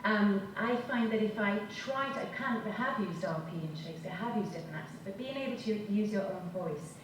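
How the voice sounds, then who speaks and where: A woman's voice: mid-range voice, expressive, brisk. A woman with a strong Welsh accent, an actor speaking thoughtfully on a panel. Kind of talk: panel discussion. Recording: echoey hall from far off, noisy.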